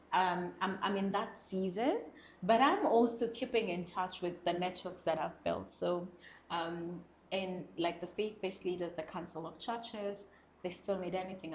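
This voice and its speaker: A woman's voice: lowish voice, a little slow, roomy sound, low-quality recording. A young woman from South Sudan, a guest speaking earnestly and expressively in an interview, laughing often. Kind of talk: reflective interview conversation.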